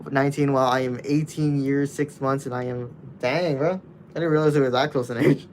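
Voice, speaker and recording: A man's voice: mid-range voice, fairly even intonation, normal speed, a little mellow, slight background noise. A nineteen-year-old man casually chatting with his livestream viewers, rambling loosely with many restarts.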